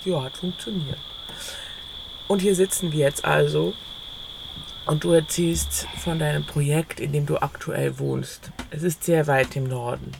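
Audio play narrator, first-person literary storytelling with quoted dialogue. Gravelly fry, middle pitch, slight background noise. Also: steady tone, unhurried.

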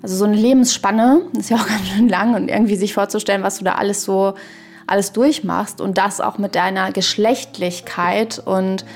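A woman in a reflective podcast conversation, speaking in a halting, searching way and often adding little tag questions. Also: mid-range voice, steady tone.

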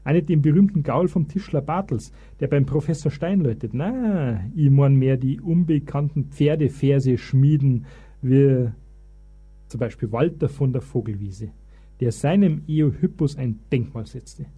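An actor playing an eager, pedantic Bavarian husband who lectures as a self-styled expert in a comic radio play. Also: male voice, mid-range voice, a little slow, dark tone, husky. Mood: pompous lecturing. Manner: pompous, long-winded.

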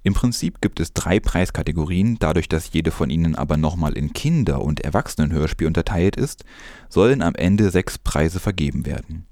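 A low male voice in a very dry recording presents scripted news. He reads formally and carefully, at a measured gait, as a presenter would. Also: animated, darkish tone, no room sound.

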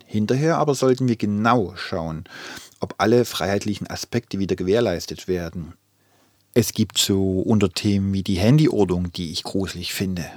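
An actor voicing a calm, thoughtful man in a radio play collage of social media posts, speaking in a measured, reflective, articulate way. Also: on the low side, dry recording.

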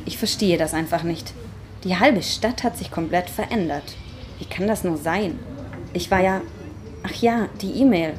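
A woman's voice: on the low side, moderate pace, natural voice. A woman playing a confused, insistent young woman in an amateur radio play, with some noise on the recording. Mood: brooding.

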